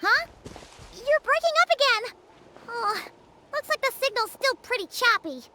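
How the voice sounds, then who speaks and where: A woman voicing a small, excitable, childlike fairy companion in a video game, chirpy and curious. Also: a little slow, heavy background noise. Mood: curious, puzzled. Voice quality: squeaky, childlike. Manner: chirpy, exclamatory.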